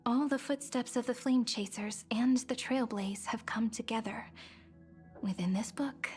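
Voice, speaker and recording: Actress as a gentle wistful young woman, game voice, breathy half-whisper, slow. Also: on the high side, faint music behind, natural voice. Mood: tender. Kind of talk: game voice acting.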